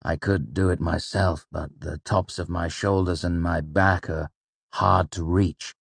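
A man's voice: sits low, steady tone, a little slow, gravelly, husky, no echo, full character voice. A man voicing a tired, stoic male innkeeper with a dry, dismissive manner, in a fantasy storybook. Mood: weary, calm.

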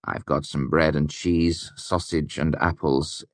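A man voicing a calm middle-aged innkeeper who talks in a measured, gently stern way. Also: a bass voice, no room sound.

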